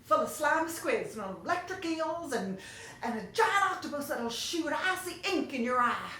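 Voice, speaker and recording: A woman's voice: high voice, big pitch swings, moderate pace, pushed and bright, pitched above the performer's own voice, full character voice. A woman voicing a frightened old storybook neighbour, shuddering and exaggerated as if giving an ominous warning. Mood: fearful, ominous. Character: frightened, shivering old married neighbours, dramatic warners. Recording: very echoey room, faint noise.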